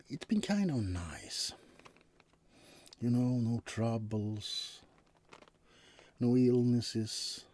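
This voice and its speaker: A man's voice: low-pitched, a little mellow, slight background noise. A man playing a laid-back, wry, centuries-old vampire roommate in an intimate, rambling ASMR roleplay. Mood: wry, nonchalant. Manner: hesitant, rambling, intimate, drifting into whispers. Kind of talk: ASMR roleplay monologue addressed to the listener.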